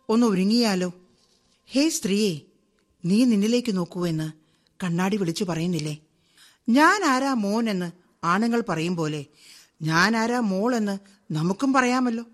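An actress in a radio drama monologue, playing a middle-aged Malayali wife and mother from Kerala who is chatty, wry and outspoken about women's rights, talking straight to the listener in a brisk, ironic way. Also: mid-range voice. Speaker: monologue narrator.

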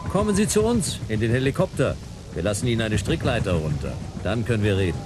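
An actor playing a wry, jaded private detective in a noir radio play, laconic and sardonic in clipped sentences. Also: male voice, lowish voice, big pitch swings, quick pace, loud music behind the voice. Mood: firm, calm. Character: jaded, wry male private detective narrating his case.

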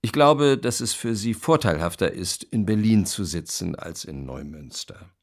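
An actor playing a brisk, benevolent publisher, delivering formal business letters emphatically in a radio play. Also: male voice, fairly low pitch, expressive. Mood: decisive, benevolent.

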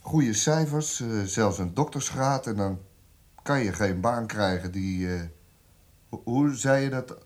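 An actor playing a condescending South African police interrogator in a radio drama, prodding with short, sardonic questions. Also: male voice, lowish voice, a little slow, darkish tone, close to an everyday voice. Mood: condescending, probing.

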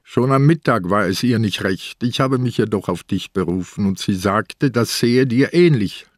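An actor playing an older, stubborn police inspector, speaking tersely and probingly in a radio play. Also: male voice, fairly low pitch, moderate pace, no room sound, clean studio sound, natural voice.

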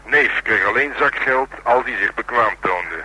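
An actor playing a gleefully morbid murder-squad detective in a Dutch radio drama, telling things with drawn-out, sardonic relish. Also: male voice, medium pitch, pushed and bright, breathy edge, exaggerated voice, poor audio.

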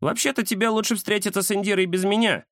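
A man voicing a chatty, mischievous young cat with an excitable, teasing manner in a children's story. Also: sits high, quick pace, no room sound, clean studio sound, voice pushed up. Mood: chatty, aggrieved. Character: cheeky chatty round-faced young male cat, mischievous.

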